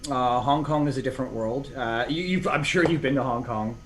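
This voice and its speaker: A man's voice: medium pitch, fairly quick, slight background noise. Rambling middle-aged man telling stories. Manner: rambling, many restarts and fillers. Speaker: podcast guest. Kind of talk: casual interview chat.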